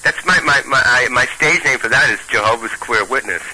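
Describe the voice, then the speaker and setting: Bright-voiced Brooklyn man reading wry humor. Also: medium pitch, normal speed, rough recording. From Brooklyn, New York. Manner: wry, self-mocking, stammers and repeats himself when speaking offhand. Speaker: guest, humorist and stand-up comedian. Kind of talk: reading his own comic writing aloud and chatting.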